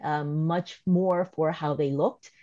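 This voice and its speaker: A woman in her fifties with a slight Korean accent speaks on a webinar panel in a low, mellow voice, measured and thoughtful. She talks over a video call, and the sound is dry and slightly muffled. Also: steady tone, dry recording. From South Korea. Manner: measured, thoughtful, some restarts. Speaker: professor and panelist. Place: home or office video call.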